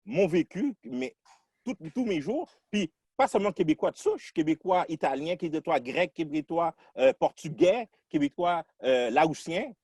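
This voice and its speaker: A man from Quebec talking through his identity in a casual podcast discussion, reflective and halting, restarting his sentences often. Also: fairly high pitch, big pitch swings, vocal fry, a little mellow, husky, dry recording, studio quality.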